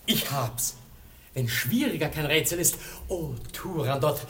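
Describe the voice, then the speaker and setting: Ardent young prince, swooping slow voice. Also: male voice, fairly high pitch, animated, background noise. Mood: eager, triumphant. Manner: rapturous, exclamatory. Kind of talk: classic German radio play, theatrical declamation.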